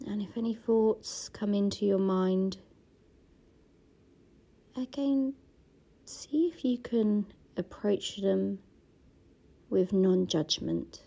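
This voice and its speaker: A woman guiding a gratitude body scan meditation, speaking softly and slowly and often dropping into a half-whisper. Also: mid-range voice, vocal fry, slightly rough. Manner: slow, soft-spoken, often half-whispered. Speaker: meditation guide. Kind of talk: guided meditation.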